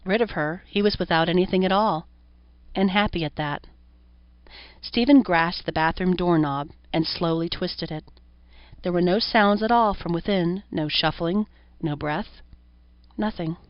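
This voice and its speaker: A woman's voice: fairly low pitch, a little slow. A woman reading a horror story as an audiobook narrator, in scripted narration. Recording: roomy echo, dull lo-fi sound.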